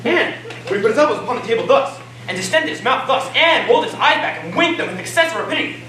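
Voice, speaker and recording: A woman's voice: on the high side, lively intonation, fast, close to an everyday voice. A woman on stage playing a boisterous, pompous dinner guest who tells absurd tales with theatrical emphasis. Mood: excitable. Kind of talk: amateur stage comedy acting. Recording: echoey stage, distant mic, noisy.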